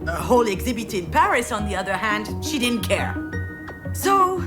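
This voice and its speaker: A woman's voice: fairly high pitch, very animated, moderate pace, over loud music. An actress playing an overwhelmed, sardonic woman in her thirties in a narrative game, hesitant and naturalistic. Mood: animated, exasperated. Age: 30s. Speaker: protagonist of a visual novel. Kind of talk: naturalistic dramatic game voice acting, dialogue and inner monologue.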